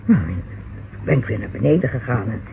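An actor playing a homely, chatty and slightly flustered character in an old radio crime drama, speaking hesitantly. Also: male voice, medium pitch, sing-song, brisk, gravelly. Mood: chatty, defensive. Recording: tinny, noisy old radio recording.

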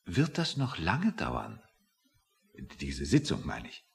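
Deep, creaky-voiced, condescending official. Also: male voice, huge pitch swings, takes it slowly, full character voice. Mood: skeptical. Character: skeptical, status-minded male official waiting outside a meeting. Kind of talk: radio play acting.

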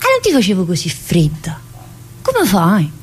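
A chatty, warm-hearted middle-aged prisoner. She talks to her listener in a meandering way, full of little "eh"s, in a voice of ordinary middle pitch. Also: wildly swooping pitch, moderate pace, slightly rough. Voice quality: chatty and meandering, studded with "eh" and direct address. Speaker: stage actor in a solo play. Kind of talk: theatrical monologue.

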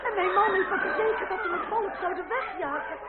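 Fretful high-voiced noblewoman, tinny recording. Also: a little fast, a loud crowd. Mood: overwhelmed. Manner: theatrical, exclamatory, distressed. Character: aristocratic married lady, helpless, easily shocked and fretful. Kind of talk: old radio drama acting. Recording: tinny old radio recording, loud noise.

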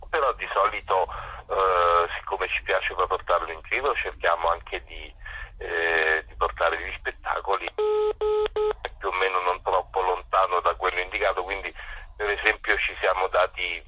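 Deep, husky man on a dull line. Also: steady tone, unhurried, sharp tone. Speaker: guest musician presenting a concert. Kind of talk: radio phone interview, explanatory talk. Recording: dull phone line, roomy echo.

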